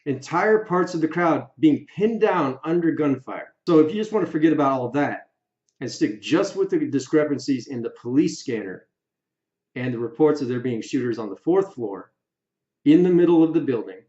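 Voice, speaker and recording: A man giving opinion commentary for an online video, speaking emphatically and deliberately in short, separated phrases. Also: medium pitch, darkish tone. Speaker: YouTube video creator. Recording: roomy echo, slightly dull sound.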